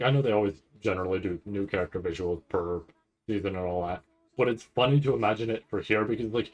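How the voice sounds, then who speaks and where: A man giving casual live reaction commentary, nervous and rambling, halting and repeating his words as he goes. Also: fairly low pitch, low-quality recording. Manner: anxious, nervous anticipation. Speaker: anime commentator reacting to a livestream event.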